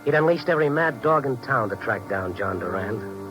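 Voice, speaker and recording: An actor playing an earnest, inquisitive hardboiled narrator-hero, punchy and wry, in a 1950s radio noir drama. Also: male voice, on the low side, animated, moderate pace, highs cut off, natural voice. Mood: tense, brooding. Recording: vintage 1950s radio with music.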